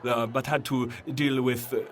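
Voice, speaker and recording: A man's voice: medium pitch, level tone, a little hiss or hum, lightly acted. A man playing a hesitant, lovestruck vampire. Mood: fond, earnest. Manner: hesitant, halting, stammering, bashful. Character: lovestruck, awkward centuries-old male vampire telling his dating story. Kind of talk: mock dating testimonial in comedy audio drama.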